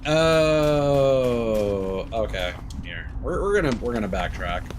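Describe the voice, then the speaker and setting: A man giving casual live commentary as he plays a game, halting and thinking aloud as he goes. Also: mid-range voice, expressive, background noise. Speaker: game streamer.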